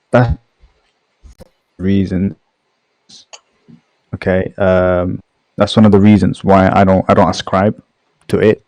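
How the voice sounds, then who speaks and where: A man's voice: lowish voice, mellow, no echo. A man on a voice chat, explaining his view step by step and checking in with "right?" and "okay?". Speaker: guest debating identity. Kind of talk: online voice-chat debate.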